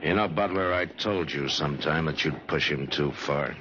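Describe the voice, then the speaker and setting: An actor playing a weary, laconic Old West marshal with a dry, sardonic edge, in an old-time radio western. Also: male voice, extremely low pitch, moderate pace. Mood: weary, reproachful. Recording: dull, noisy old radio recording.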